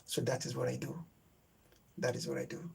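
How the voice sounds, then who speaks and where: A man's voice: mid-range voice, completely flat delivery, brisk, creaky, a little mellow, husky. A young man with a Nigerian English accent, a native Yoruba speaker, chatting casually with viewers on a livestream and searching for his words with lots of fillers. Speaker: livestream host. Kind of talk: casual live chat with viewers.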